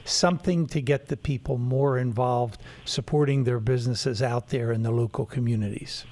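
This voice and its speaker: A man's voice: mid-range voice, steady tone, moderate pace, darkish tone. Earnest male talk show host. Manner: earnest, promotional, many fillers.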